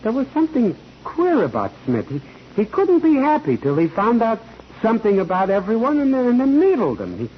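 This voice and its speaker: An actor playing a nervous, evasive bookkeeper who stammers and repeats himself on the stand in a 1940s radio courtroom drama. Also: male voice, sits high, wildly swooping pitch, a little fast, slightly rough, full character voice. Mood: uneasy, gossipy. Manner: stammering, repeating words, jittery. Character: nervous, evasive bookkeeper hiding a criminal past. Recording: muffled, noisy old 1940s radio recording.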